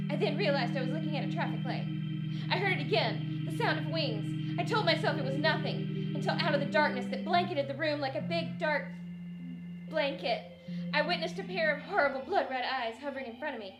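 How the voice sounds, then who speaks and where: A woman's voice: high-pitched, lively intonation, fairly quick. A woman playing a melodramatic, frightened 1940s heroine in an old-time radio drama parody. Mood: breathlessly dramatic. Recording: roomy, with loud music underneath. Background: loud music.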